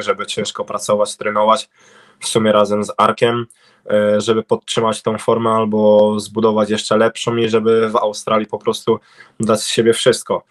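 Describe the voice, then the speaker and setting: Young man, low flat halting voice. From Poland. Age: young adult. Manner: halting, many fillers and restarts. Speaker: interviewed speedway cycling rider. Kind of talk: casual interview answers. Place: indoor room at home. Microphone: video call webcam.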